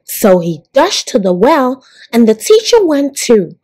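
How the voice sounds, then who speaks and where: A woman storyteller reading a picture book aloud to young children in a deliberate, expressive way. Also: a little high, breathy edge. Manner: deliberate, animated, addressing young listeners.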